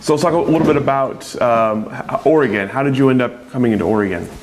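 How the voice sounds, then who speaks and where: A man conducting an oral history interview, asking questions in a relaxed, friendly way. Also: medium pitch, fast, slight background noise.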